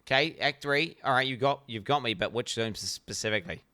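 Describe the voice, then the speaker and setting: Blunt New Zealand man, bright crisp voice. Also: mid-range voice, a little fast, no echo. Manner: blunt, profane, rambling with many restarts. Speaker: streamer. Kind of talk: casual reaction stream commentary.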